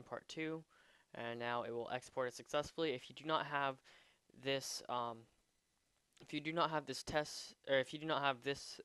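A man giving a casual, unscripted software tutorial, a bit hesitant as he thinks aloud while demonstrating. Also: mid-range voice, smooth, no echo. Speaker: tutorial presenter.

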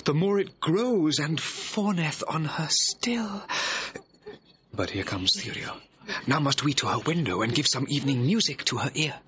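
Scheming lovesick young gentleman, classical drama: mid-pitched, measured, slow, poetic. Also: slightly rough, heavily acted. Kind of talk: classical Shakespeare soliloquy acting. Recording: dull, hissy archive recording.

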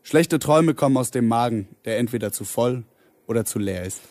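An actor playing a formal, deadpan narrator in a radio drama. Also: male voice, mid-range voice, brisk, no echo, close to an everyday voice. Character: dry, matter-of-fact narrator of a dreamer's case. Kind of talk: scripted narration.

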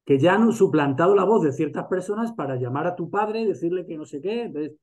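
A man's voice: on the high side, expressive, a little fast, darkish tone. A man with a Spanish accent from Spain, talking casually on a video call, with many restarts and fillers. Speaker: masterclass host.

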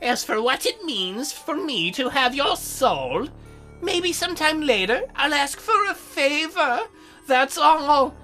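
A woman's voice: high voice, lively intonation, slow pace, pushed and bright, soft background music, heavily acted. A woman voicing a young demon girl from a visual novel, a cutesy, polite soul-buyer who is sulky and lonely. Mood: coaxing. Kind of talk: visual novel character read aloud.

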